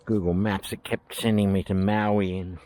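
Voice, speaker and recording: Deep-voiced Australian man touring. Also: little pitch movement, moderate pace, darkish tone, a little hiss or hum. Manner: chatty, thinking aloud with fillers. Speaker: travel vlogger. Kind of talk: casual walking tour commentary. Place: outdoors at a historic village.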